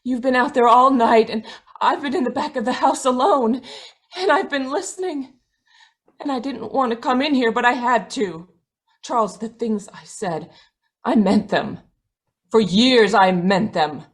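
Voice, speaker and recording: Lady playing middle-aged wife in old radio play, raspy slightly high voice, bitter, frightened, pleading. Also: a little slow. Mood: frightened, urgent. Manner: intense, pleading. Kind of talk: old-time radio drama acting. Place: indoors, live video call performance.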